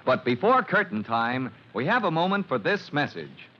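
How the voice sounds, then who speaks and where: A man's voice: mid-range voice, big pitch swings, moderate pace. A man announcing and narrating an old-time radio drama in a theatrical, declamatory style. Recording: muffled, hissy old radio broadcast.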